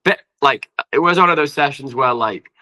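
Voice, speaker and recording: A man's voice: medium pitch, normal speed, bright, forceful tone. A man with a northern English accent chatting casually as an interview guest, rambling informally with lots of "like". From Preston, north of England. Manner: rambling, informal, often says "like". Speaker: guest singer-songwriter and producer.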